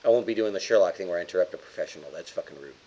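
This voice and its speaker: A man's voice: low voice, racing, mellow. Male tabletop player, casual conversational delivery, slightly muffled lo-fi recording. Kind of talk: casual chat. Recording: dull lo-fi microphone sound.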